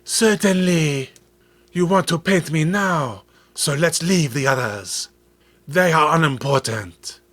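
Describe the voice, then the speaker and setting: A man's voice: fairly high pitch, animated, slow, a bit bright, full character voice. A man voicing an enchanted statue woman, tender and devoted, in a soothing, compliant manner for a horror comic. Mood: haughty.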